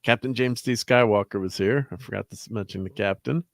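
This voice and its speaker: A man's voice: lowish voice, a little fast, gravelly, no echo, clean studio sound. A man hosting a casual livestream, rambling through chat names and laughing often. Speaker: host.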